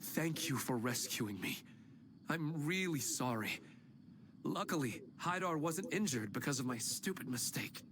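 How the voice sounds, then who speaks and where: A man's voice: fairly high pitch, lively intonation, a little slow, a bit bright, distant mic, a little hiss or hum. A man voicing a remorseful young man in a game, speaking sheepishly and apologetically. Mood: apologetic.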